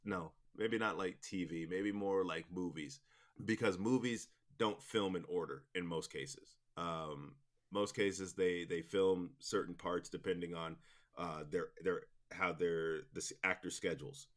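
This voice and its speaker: Man, very low pitch, informal interview guest, rambling, enthusiastic. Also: fairly even intonation, measured pace. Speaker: interview guest, audio drama producer. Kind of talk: casual interview talk.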